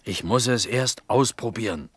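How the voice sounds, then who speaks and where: Stubborn simple potter, dry sound. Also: male voice, lowish voice, moderate pace, breathy edge, no echo. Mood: cautious. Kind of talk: German radio play acting.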